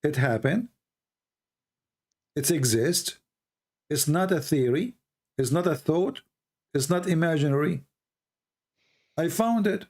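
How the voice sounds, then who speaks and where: A man's voice: fairly high pitch, animated, slow pace, pauses often, a little mellow. A man whose first language is Arabic, speaking English with an Arabic accent, arguing insistently as the host of a heated debate. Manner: combative, insistent, repeats words for emphasis.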